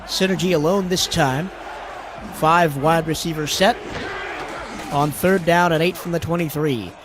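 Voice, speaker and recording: A man calling play-by-play for a simulated football game, his voice hoarse and lower after a cold, rising sharply in pitch and volume on big plays. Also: steady tone, unhurried, a crowd in the background, noisy background. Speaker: play-by-play commentator. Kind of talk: sports play-by-play commentary.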